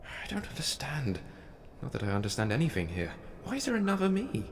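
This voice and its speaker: A man's voice: medium pitch, huge pitch swings, brisk, a little hiss or hum. A man acting as an anguished, bewildered widower in an audio drama, earnest and dramatic in delivery. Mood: alarmed. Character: anguished, guilt-ridden widower, emotional and bewildered.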